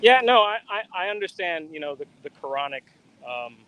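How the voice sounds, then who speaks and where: A man answers a caller's questions in a casual chat. He speaks haltingly. He often starts a phrase over before finishing it. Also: sits high, lively intonation, a bit bright, smooth, poor audio. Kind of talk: casual conversation.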